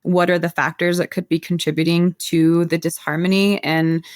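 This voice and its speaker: A woman's voice: fairly low pitch, level tone, moderate pace, no room sound, studio quality. A woman from the United States, a guest herbalist, explaining her practice in an earnest, flowing way with frequent filler words. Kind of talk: casual podcast interview.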